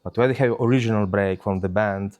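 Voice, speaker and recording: Man, low voice. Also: a little fast, a little mellow. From Ukraine. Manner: hesitant, many uh fillers, restarts. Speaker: DJ guest. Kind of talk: casual interview answers.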